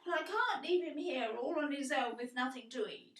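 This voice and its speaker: A woman's voice: sits high, animated, a little fast, fairly bright tone, reverberant, far from the mic. A woman playing an anxious, overprotective mother of a teenager, fussing shrilly, in an audio play. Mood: fretting.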